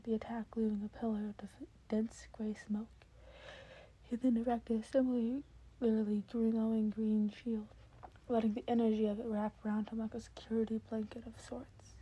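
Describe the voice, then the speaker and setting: A woman's voice: a little high, mellow, slightly rough, rough recording. A woman reading a scripted story aloud as an amateur audiobook narrator.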